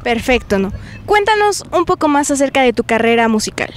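A woman's voice: high-pitched, sing-song, fairly quick. A young woman with a Mexican accent, conducting an on-location interview outdoors with a handheld microphone. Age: young adult. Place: outdoors in an open public plaza. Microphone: handheld reporter microphone. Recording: faint outdoor ambient noise.

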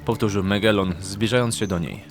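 Low-voiced male storyteller over music. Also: fairly quick. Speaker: narrator. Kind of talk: scripted storybook narration. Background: background music.

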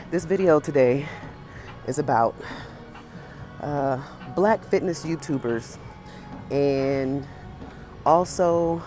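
An American woman in her forties talking casually and emphatically to camera as a fitness vlogger, pausing between phrases. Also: rumbling low. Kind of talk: casual opinionated talk to camera. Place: indoor gym with high ceiling.

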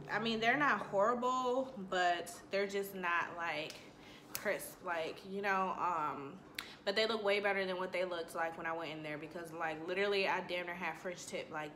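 A woman's voice: on the low side, fairly bright tone, roomy sound, slight background noise. A woman in her thirties chatting casually to her camera, rambling with lots of "like" and "y'all", a little congested. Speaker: vlogger, boutique owner. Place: small room indoors.

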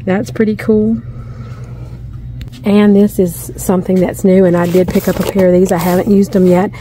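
Middle-aged woman, casual shop-along vlogger; mellow, chatty, easily delighted; phone in hand, faint store noise. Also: mid-range voice, moderate pace, low-quality recording. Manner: chatty, easily excited, thinking aloud. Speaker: shopping vlogger. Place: inside a large discount store. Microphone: handheld phone camera filming her hands.

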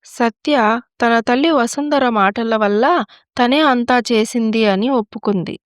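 A woman narrates a story in a fairly high voice. It is scripted storytelling, recorded dry with no room sound. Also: measured pace, no room sound.

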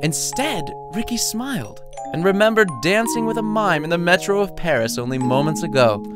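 A man's voice: high voice, lively intonation, normal speed, music in the background. A man reading his own short story as scripted audiobook narration, with a wry, playful storytelling manner.

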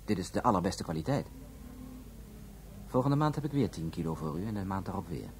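An actor playing a formal, guarded drug supplier who speaks with measured politeness in a Dutch radio play. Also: male voice, low-pitched, very animated. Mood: businesslike. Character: courteous, guarded foreign drug supplier, a man. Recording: noisy radio drama recording.

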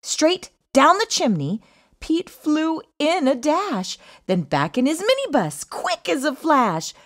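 A woman reading a children's book aloud as an expressive storyteller, with a sing-song, emphatic delivery of the rhymes. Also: high voice, takes it slowly.

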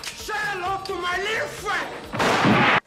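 An actor playing a volatile male gangster, shouting in a film shootout. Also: extremely high pitch, little pitch movement, takes it slowly, soft background music, heavily acted. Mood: defiant, shouting. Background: loud film score and gunfire.

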